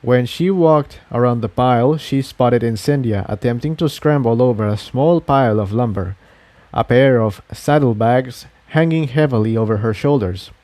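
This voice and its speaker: A man's voice: medium pitch, normal speed, mellow, no echo. Man narrating a story, very dry recording. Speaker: fan fiction narrator.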